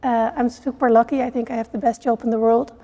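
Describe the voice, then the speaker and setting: A woman's voice: a little high, steady tone, fast talker, mellow. A middle-aged woman from the Netherlands, answering interview questions earnestly with many fillers. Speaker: CEO of a network for social entrepreneurs.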